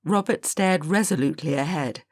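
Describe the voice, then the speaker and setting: A woman narrating a children's audiobook in a calm, measured storytelling manner. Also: low-pitched, no echo, clean studio sound.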